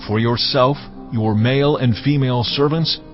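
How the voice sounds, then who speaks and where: A man playing God in a dramatized audio Bible, giving laws in a solemn, measured, commanding manner. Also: mid-range voice, fairly even intonation, natural voice. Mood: solemn, instructing. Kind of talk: dramatized scripture reading. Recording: dull lo-fi audio, music underneath.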